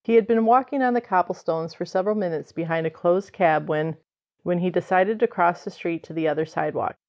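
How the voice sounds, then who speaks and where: A woman's voice: on the low side, brisk, a little mellow, close to an everyday voice. A woman reading scripted dramatic narration as the narrator of an old time radio show. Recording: dull lo-fi sound.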